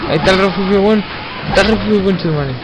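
A man's voice: sits high, racing, muffled recording, background noise. A Chilean man chatting and calling out to teammates in a slangy, profane way as he plays an online shooter. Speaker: online co-op gamer leading the team. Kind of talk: casual gaming chat with team callouts. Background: zombie shooter game audio with music.

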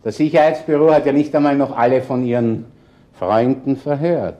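A man acting a shrewd, chatty police chief inspector in a radio crime drama, with a Viennese accent and a deliberate, probing way of talking. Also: mid-range voice, warm tone, muffled recording, natural voice. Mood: conversational, probing. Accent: Viennese Austrian. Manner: colloquial, deliberate, insinuating. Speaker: actor.

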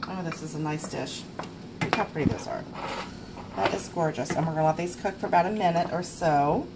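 A woman's voice: low-pitched, a little fast, very noisy. A woman in her forties hosting a casual home cooking show, chatty and full of fillers, recorded on a camera mic in her kitchen. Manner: chatty, filler-heavy, self-deprecating.

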